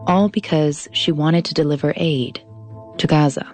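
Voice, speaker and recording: A woman in her thirties hosting and narrating a scripted documentary podcast, measured and deliberate, slowing down on key phrases. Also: deep voice, darkish tone, soft background music.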